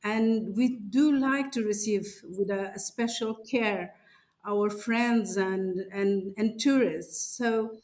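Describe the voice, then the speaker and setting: A woman with a Portuguese accent speaks slowly at a medium pitch. She is an interview guest, halting now and then as she searches for words. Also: darkish tone. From northern Portugal. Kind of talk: promotional interview answer.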